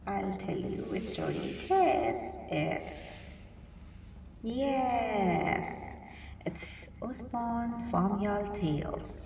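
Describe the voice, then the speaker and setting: A woman reading a picture book aloud to young children, expressively, pausing to think aloud and ask them simple questions. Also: mid-range voice, warm tone. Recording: roomy, distant mic, clear background noise.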